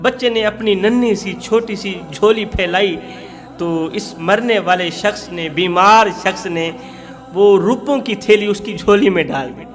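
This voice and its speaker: A man's voice: sits high, unhurried, fairly bright tone, soft background music. A man preaching through emotional storytelling, dramatic, repeating phrases for emphasis.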